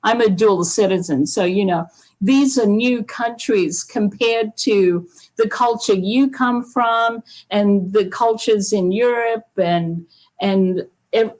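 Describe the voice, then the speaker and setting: A woman co-hosting a casual video-call chat, effusive and quick to laugh. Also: medium pitch. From Australia. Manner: warm, effusive, laughs often. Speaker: co-host.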